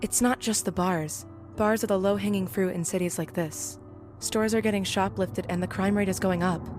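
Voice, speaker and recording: A tough young ex-military security woman, played by an actress in an audio drama. She is blunt and serious, with a protective, grumbling edge and a middling pitch. Music plays clearly behind her. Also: steady tone, normal speed, a little mellow, natural voice. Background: background music.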